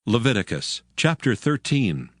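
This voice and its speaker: A man narrating scripture, slowly announcing chapter headings. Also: mid-range voice, big pitch swings, no room sound, clean studio sound.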